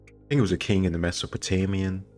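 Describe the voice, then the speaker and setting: A man's voice: deep voice, fairly even intonation, fast talker, a little mellow, faint music behind. A man hosting a casual reaction video, thinking aloud in a reflective way as he gives his commentary. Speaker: video host taking a history quiz.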